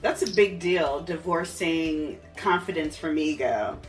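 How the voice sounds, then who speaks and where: A man's voice: on the high side, lively intonation, unhurried, recorded from a distance, soft background music. A man hosting a casual interview in an encouraging, reflective way. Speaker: podcast host, interviewer.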